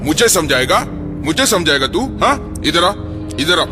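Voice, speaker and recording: A man's voice: on the high side, animated, moderate pace, music in the background, natural voice. A man playing a menacing, bullying, drunken brute of a butcher in a horror audio drama, taunting with threatening questions. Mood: taunting menace.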